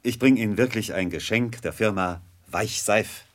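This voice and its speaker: An actor playing a polite, eager company representative in a radio play, speaking in a formal, salesmanlike manner. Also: male voice, on the low side, normal speed, close to an everyday voice. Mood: courteous, eager.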